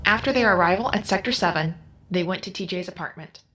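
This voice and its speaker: An actress playing a dutiful, impassive investigator who speaks in a formal, measured way in an audio drama. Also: female voice, lowish voice, very noisy, natural voice. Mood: formal, unmoved.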